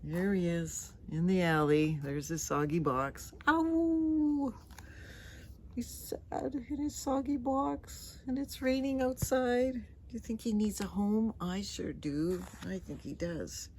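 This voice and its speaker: A woman reading a storybook aloud to children, gentle and conversational, with chatty, questioning asides. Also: mid-range voice, slow, slight background noise. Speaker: storybook reader.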